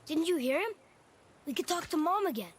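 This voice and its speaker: A woman's voice: very high voice, lively intonation, a little fast. A performer voicing a curious, eager young boy in a video game, blunt and full of questions. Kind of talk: video game character acting. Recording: loud water and boat noise.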